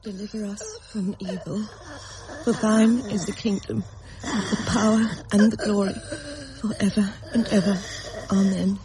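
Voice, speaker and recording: A woman's voice: medium pitch, steady tone, measured pace, noisy background, close to an everyday voice. An actress playing a distraught young mother in a radio drama, pleading in a tremulous, tearful voice. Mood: praying. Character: distraught, frightened young mother at her dying son's bedside.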